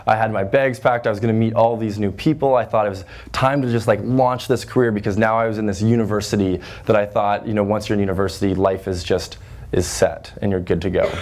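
A man in his twenties from Northern Ontario with a loud voice, giving an earnest talk to a high school class with lots of fillers and restarts. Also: steady tone, quick pace. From Northern Ontario, Canada. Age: 20s. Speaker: guest speaker. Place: high school classroom with chalkboards, audience seated.

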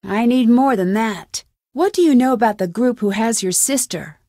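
A woman's voice: fairly high pitch, moderate pace, dark tone, no room sound, clean studio sound, close to an everyday voice. A woman voicing a determined, suspicious young amateur detective in a video game, with an earnest, questioning manner. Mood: insistent.

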